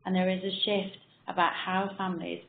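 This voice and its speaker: Husky quiet lady presenting a webinar, low gravelly voice, echoey phone-like call audio. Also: little pitch movement, a little hiss or hum. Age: middle-aged. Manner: measured, with frequent ums. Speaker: presenter, nurse researcher. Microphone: computer mic on a web call.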